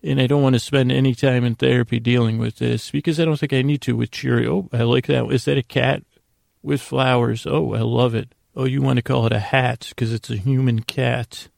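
Very creaky-voiced man, rambling podcast host. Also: medium pitch, steady tone, a little mellow, dry recording, clean studio sound. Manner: meandering, halting, self-interrupting, whimsical. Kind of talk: rambling bedtime sleep podcast monologue.

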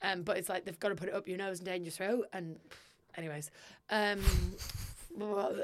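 A young woman co-hosting a podcast, chatting casually and telling stories, swearing freely, her voice sometimes a little gravelly. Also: medium pitch, steady tone, normal speed. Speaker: podcast co-host. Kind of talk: casual chat.

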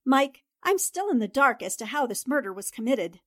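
Woman doing an old-time radio girl reporter, high voice, earnest n direct, close dry sound. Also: very animated, normal speed, fairly bright tone, no echo, heavily acted. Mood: inquisitive. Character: composed, inquisitive female newspaper columnist. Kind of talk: 1940s radio drama acting.

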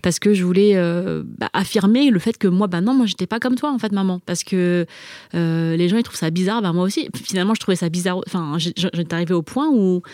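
A woman's voice: fairly low pitch, brisk, a little mellow, no room sound, clean studio sound. A young adult woman from France whose first language is Chinese, talking casually and hesitantly, with many fillers and repeated starts. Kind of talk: casual conversational chat.

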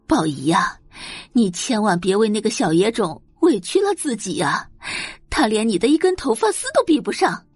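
A woman's voice: medium pitch, big pitch swings, moderate pace, breathy edge, dry recording, soft background music. A woman voicing an angry, scornful middle-aged mother in an audio novel, huffing and ranting indignantly. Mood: scornful doting. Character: angry, scornful middle-aged adoptive mother, doting on her own daughter.

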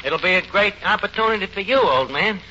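Through the noise of a muffled 1940s radio recording comes a New York tavern manager. He blusters in slangy, mangled English, with a lively, swinging pitch that betrays his nerves. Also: brisk. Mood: mock-tragic. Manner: malapropisms, slangy ungrammatical bluster. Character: anxious, blustering tavern manager, comic wiseguy. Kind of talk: 1940s radio comedy acting.

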